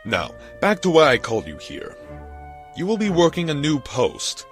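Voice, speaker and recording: An actor playing an arrogant, leering unicorn guard captain in a story reading, pompous and boastful. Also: male voice, fairly high pitch, wildly swooping pitch, normal speed, a bit bright, faint music behind. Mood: commanding. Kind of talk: dramatised fan fiction reading.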